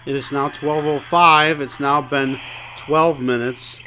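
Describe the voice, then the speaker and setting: A man giving an informal account of a grievance as a customer, halting and repetitive, sounding aggrieved. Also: on the high side, fairly even intonation, bright, forceful tone, faint music behind. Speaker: customer phoning in a complaint.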